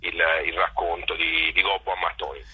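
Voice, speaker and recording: A man with a Tuscan accent talking off the cuff as a phone-in interview guest, halting and repeating words as he looks for the phrasing. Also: low voice, little pitch movement, breathy edge. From provincial Tuscany, Italy. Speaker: interview guest, playwright and actor. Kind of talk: spontaneous phone interview talk. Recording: harsh telephone line on radio.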